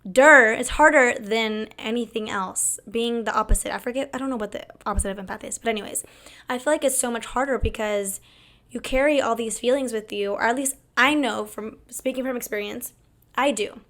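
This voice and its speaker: A young woman hosting her own video talk show, chatty and candid, her voice hoarse as it comes back after losing it. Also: fairly high pitch, a little fast, fairly bright tone. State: hoarse, recovering lost voice. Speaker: host. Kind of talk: casual talk to camera.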